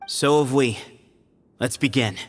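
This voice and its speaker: A man's voice: medium pitch, unhurried, lightly acted. A man playing a confident young challenger, proud heir of a desert temple, in a video game. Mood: cool, confident. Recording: loud music behind.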